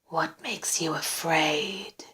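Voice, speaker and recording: A woman performs an obsessive man lurking in the shadows of a suspense story. He speaks in a soft, breathy whisper, slowly and intimately. His tone is commanding yet gentle, and full of menace. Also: mid-range voice. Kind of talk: suspense audiobook character.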